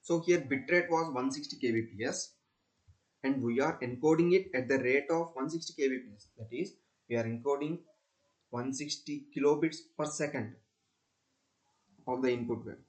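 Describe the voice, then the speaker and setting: A man presents a screen-recorded technical tutorial in a medium-pitched voice. He explains each step haltingly, often restating what he has just said. The delivery is that of a plain, practical demonstration. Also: rough recording. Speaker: student presenter demonstrating software.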